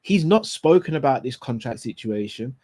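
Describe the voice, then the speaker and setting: Hoarse, raspy man hosting a live fan show. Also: medium pitch, sing-song, moderate pace, dark tone. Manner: opinionated, picks apart phrasing with repeated rhetorical questions. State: hoarse and tired after illness. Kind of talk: casual live football talk.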